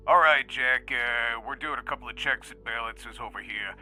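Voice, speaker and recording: A man's voice: mid-range voice, moderate pace, sharp tone, soft background music, rough recording. A man playing a breezy, informal researcher who nudges a test subject along in an audio drama. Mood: casual. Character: brash, informal male researcher running tests on an anomaly.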